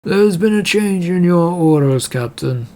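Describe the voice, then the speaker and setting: A man's voice: high voice, animated, measured pace, darkish tone, voice pushed up. A man voicing a troubled, insistent male shipping company boss in a story, with an urgent, grumbling manner. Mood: urgent, commanding.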